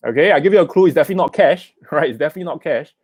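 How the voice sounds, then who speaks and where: A man's voice: a little high, expressive, rapid-fire, darkish tone, no echo. Singaporean man checking in with "right?". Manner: keeps checking in with "okay?" and "right?"; urges viewers to type in the comments. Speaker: investment speaker. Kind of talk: live online seminar talk.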